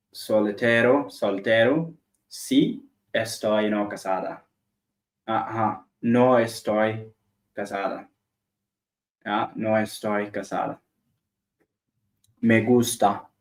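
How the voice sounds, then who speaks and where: A man's voice: fairly low pitch, fairly even intonation, drawn-out words, pauses often. A man whose first language is Arabic, practicing beginner phrases aloud, hesitating and repeating words over and over. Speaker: language learner streamer. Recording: echoey room.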